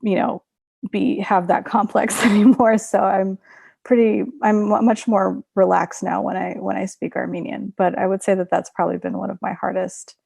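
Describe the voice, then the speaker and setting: A woman's voice: medium pitch, flat delivery, normal speed, gravelly, warm tone, husky, no echo. A woman in her thirties, raised in Russia, talking chattily with many fillers and restarts as a webinar guest over a video call. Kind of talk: webinar conversation.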